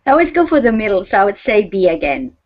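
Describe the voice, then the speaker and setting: A woman student in an English class answering quiz questions in a soft-spoken way. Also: mid-range voice, big pitch swings, fast talker, dull audio.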